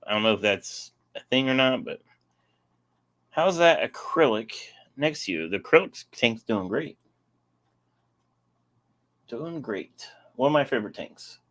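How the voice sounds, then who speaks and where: A man's voice: medium pitch, expressive, a little slow, long pauses, fairly bright tone. A young man casually hosting a live stream, rambling and answering chat, his voice getting sore.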